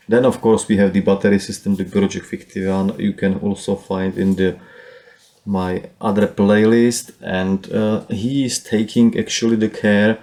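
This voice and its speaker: A man with a Czech accent talks through his own solar data, pausing and restarting with plenty of fillers. His voice sits low and sounds soft and mellow. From Czech Republic. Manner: halting, with frequent fillers and restarts. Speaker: solar power hobbyist. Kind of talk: casual screen walkthrough.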